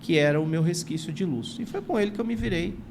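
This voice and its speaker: Earnest forties man with a São Paulo accent, gravelly and grateful, interview guest. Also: sits high, animated, quick pace, darkish tone, slight background noise. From São Paulo, Brazil. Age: 40s. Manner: earnest, grateful, many "não é" tags. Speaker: guest, retired teacher and writer. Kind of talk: reflective storytelling in an interview.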